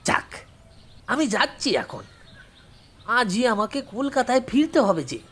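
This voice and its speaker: A woman voicing a bossy, talkative caretaker man with a husky, emphatic delivery in an audio story drama. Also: fairly high pitch, very animated, slow pace, fairly bright tone, full character voice. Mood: explaining. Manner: commanding, scolding, emphatic.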